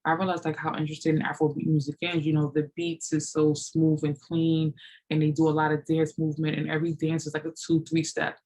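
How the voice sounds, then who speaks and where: A woman's voice: low voice, monotone, brisk, dark tone. A woman in her late thirties answering viewer questions in a loose, rambling chat, full of "you know" and "like". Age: 30s. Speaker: fitness vlogger. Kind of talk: casual Q&A chat.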